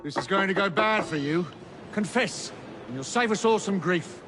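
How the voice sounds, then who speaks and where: A man's voice: high voice, expressive, moderate pace. An actor playing a bullying, blustering male Victorian police sergeant in a video game, amid heavy noise. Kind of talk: video game cutscene acting.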